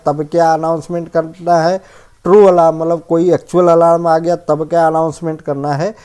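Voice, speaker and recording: A man's voice: on the high side, mellow, smooth. A man giving an informal technical lesson as an instructor, explaining checklist points and often rephrasing and defining terms. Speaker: fire safety instructor.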